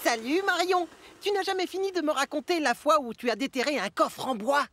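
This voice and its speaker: A woman's voice: high-pitched, fairly quick, fairly bright tone, a little hiss or hum. A woman voicing a polite, friendly young male steam locomotive in a children's cartoon. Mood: cheerful.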